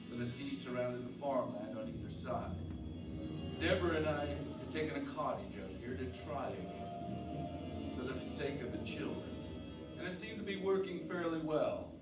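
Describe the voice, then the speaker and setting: A man playing a brooding, uneasy married farmhand in an earnest, measured old-time radio drama style. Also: mid-range voice, expressive, loud music behind the voice, close to an everyday voice. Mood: reflective. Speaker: actor, narrator and lead. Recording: roomy, distant mic, clear background noise.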